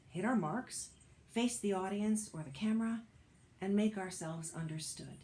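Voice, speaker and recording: A woman reading a personal essay aloud as a narrator, with a wry, measured storytelling delivery. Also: mid-range voice, mellow. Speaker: audiobook narrator, actor and author reading an essay.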